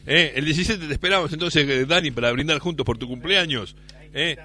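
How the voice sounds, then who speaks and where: A man's voice: fairly high pitch, a little fast, sharp tone. An Argentine man in his fifties hosting with casual, jokey, slangy banter and repeated catchphrases. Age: 50s. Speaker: radio host.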